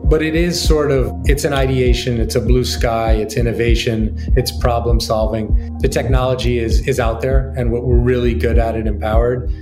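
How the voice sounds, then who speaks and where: A man, a company co-founder, talking in an interview in a businesslike, sales-pitch manner with frequent fillers. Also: steady tone, normal speed, few pauses, roomy sound, music in the background. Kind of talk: interview answers.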